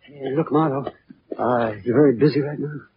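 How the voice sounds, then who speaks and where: Muffled hissy old radio, actor as hesitant hotel clerk, mid-pitched male, quiet-spoken, uneasy. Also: steady tone, moderate pace, close to an everyday voice. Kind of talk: 1940s radio acting.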